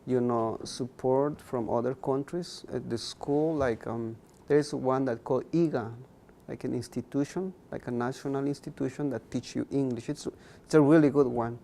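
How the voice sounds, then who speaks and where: A man's voice: medium pitch, moderate pace, vocal fry, few pauses, dark tone. A young man, a Mayan speaker talking earnestly as an interview guest, repeating words for emphasis. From Guatemala. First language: K'iche' Mayan. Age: young adult.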